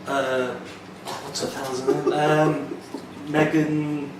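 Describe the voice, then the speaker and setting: A man gives short, casual answers as a quiz contestant. He speaks slowly at an average pitch. The recording is distant and echoey, with heavy noise. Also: level tone.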